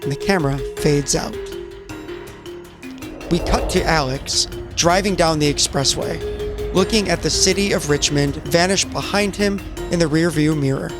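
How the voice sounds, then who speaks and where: A man narrates in a scripted, cinematic style, setting scenes like a screenplay. His voice is a bit higher than average, with music clearly audible behind him. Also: moderate pace. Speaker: narrator and player.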